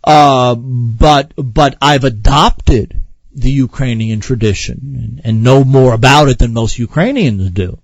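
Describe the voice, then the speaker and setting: A man's voice: medium pitch, takes it slowly, no echo, poor audio. A man hosting a radio talk show, lecturing earnestly with repeated phrases and frequent fillers. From Ireland.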